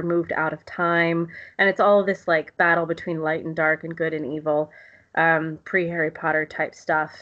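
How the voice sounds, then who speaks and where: A woman's voice: fairly low pitch, a bit bright, dull audio. A woman from the United States, talking casually and enthusiastically as an interview guest, rambling with frequent ums. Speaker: guest, literature lecturer.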